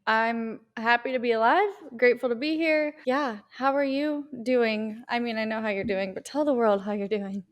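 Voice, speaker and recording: A woman's voice: on the high side, normal speed, few pauses. A woman co-hosting a casual podcast, upbeat and cheerful with a dry, teasing side. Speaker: podcast co-host.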